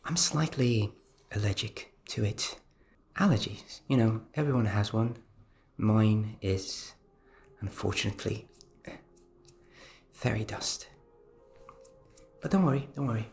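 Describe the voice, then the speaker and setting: A man's voice: on the low side, a little slow, creaky, pauses often, a little mellow, faint music behind. A man playing a fussy, apologetic, well-meaning fairy friend in a fantasy ASMR roleplay, hesitant and often dropping into whispers. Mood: apologetic, helpful.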